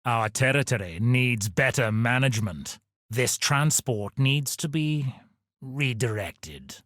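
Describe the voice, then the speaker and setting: An actor playing a pompous, scheming aristocratic villain in a video game, haughty, condescending and melodramatic. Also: male voice, mid-range voice, expressive, takes it slowly, fairly bright tone, dry recording, clean studio sound. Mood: haughty, scornful.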